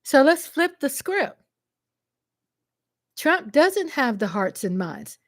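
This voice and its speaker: A woman's voice: high voice, very animated, takes it slowly, dry recording, clean studio sound. A woman with a Texan accent speaking straight to her viewers, passionate and emphatic, and she repeats phrases for stress. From Texas. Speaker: vlogger answering a commenter. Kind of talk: impassioned direct-to-camera monologue.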